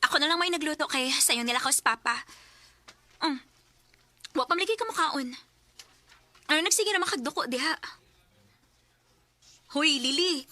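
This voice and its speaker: A woman with a Cebuano accent playing a soft, pleading, guilty older sister in a Bisaya radio drama. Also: high-pitched, expressive, normal speed, long pauses. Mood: concerned.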